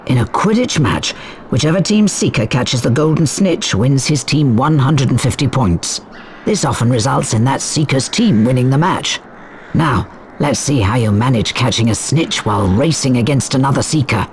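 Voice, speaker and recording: An actor playing a brisk, formal flying instructor at a wizard school, giving instructions in a video game. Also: male voice, mid-range voice, lively intonation, slight background noise, lightly acted. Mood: brisk, instructive. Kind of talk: video game tutorial acting.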